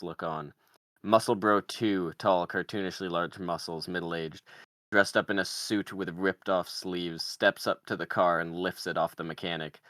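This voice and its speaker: A man's voice: low-pitched, bright, forceful tone, dry recording, clean studio sound. A man doing scripted narration, reading out screenplay stage directions at a table read.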